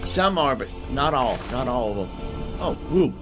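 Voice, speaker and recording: A man streams a video game with music playing clearly behind him. His voice sits at an average male pitch. He comments casually on what is in front of him, musing aloud and circling back over his own phrases. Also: animated, normal speed. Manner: repeats himself, musing aloud. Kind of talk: casual live gameplay commentary.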